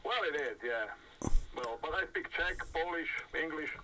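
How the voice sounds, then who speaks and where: A man's voice: on the high side, animated, normal speed, noisy background. Hesitant Slovak man on a tinny call. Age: 40s. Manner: hesitant, restarts often. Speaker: interview guest. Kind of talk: casual chat over video call. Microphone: video call.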